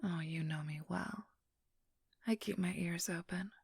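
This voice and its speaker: A woman acts out an ageless female vampire thief in an intimate ASMR role-play. Her very husky, breathy whisper crackles with fry and moves slowly, haughty and teasing, right up at a dry microphone. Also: on the low side, dry recording, heavily acted. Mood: sly, boastful. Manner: condescending, teasing, drawn-out delivery. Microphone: close, dry microphone.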